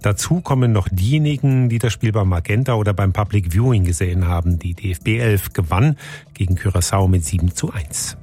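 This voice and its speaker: A man's voice: fairly low pitch, brisk, dark tone, husky. A man reading scripted radio news bulletins in a formal announcing style. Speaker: radio newsreader.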